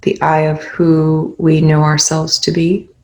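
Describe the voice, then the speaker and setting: Slow, even-toned meditation guide. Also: medium pitch. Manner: gentle instructional phrasing with pauses.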